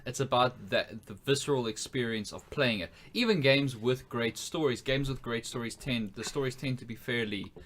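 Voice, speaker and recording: A man's voice: medium pitch, moderate pace, hardly any pauses, a bit bright. A man co-hosting a casual podcast, speaking in an articulate, analytical and sarcastic way. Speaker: podcast co-host.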